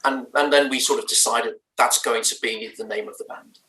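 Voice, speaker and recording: A man's voice: medium pitch, fairly even intonation, moderate pace, pushed and bright, reverberant, recorded from a distance, poor audio. A man with a Danish accent, a native Danish speaker, answering interview questions in a thoughtful, hesitant way with many fillers and restarts. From Denmark. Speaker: band's lead singer. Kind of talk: remote interview chat.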